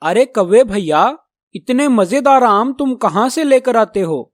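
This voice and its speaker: A man voicing an eager, effusively flattering male parrot in a children's cartoon. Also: very high-pitched, a little slow, dry recording, clean studio sound. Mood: eager, admiring.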